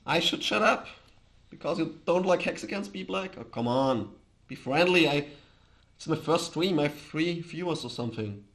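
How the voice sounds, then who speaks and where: A man from Austria with an Austrian accent and German as his first language, chatting casually on a livestream in a hesitant, halting way. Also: fairly high pitch, animated, fairly bright tone. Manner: hesitant, halting, chuckles often. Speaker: live streamer, host.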